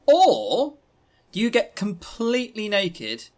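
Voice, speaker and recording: A man's voice: high-pitched, wildly swooping pitch, takes it slowly, darkish tone. Wry, joking male podcast co-host. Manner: joking, wry, teasing questions. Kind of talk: casual chat reviewing visual novels.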